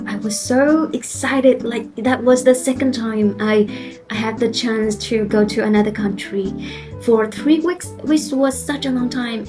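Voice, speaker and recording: Vietnamese-accented girl in her late 20s chatting to cam, lots of "you know", music clearly under her. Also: fairly high pitch. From central Vietnam. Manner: earnest, a little nervous, frequent "you know" fillers. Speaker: vlogger. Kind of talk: casual vlog talk to camera. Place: at her desk at home. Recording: soft music behind the voice.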